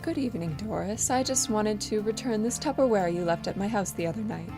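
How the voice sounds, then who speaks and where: A young woman playing a polite, awkward married housewife with a sly streak in an amateur audio drama, speaking in a halting, nervous way. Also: mid-range voice, music in the background, lightly acted. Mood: flustered, polite. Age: young adult.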